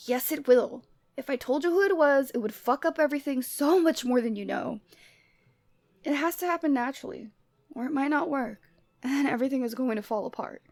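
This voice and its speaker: A lovestruck Cupid girl acted by a woman talks to her best friend in a fairly high voice. She is conversational and openly emotional, in the style of an audio roleplay. The sound is very dry, with no room around it. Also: fairly quick, no room sound. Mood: upset, exasperated. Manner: conversational, teasing, emotionally expressive. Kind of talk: ASMR roleplay audio drama, addressing the listener.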